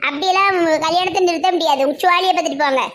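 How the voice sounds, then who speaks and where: A performer voicing a proud, sharp-tongued bride's mother who taunts in blunt rural speech. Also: very high voice, very fast. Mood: taunting.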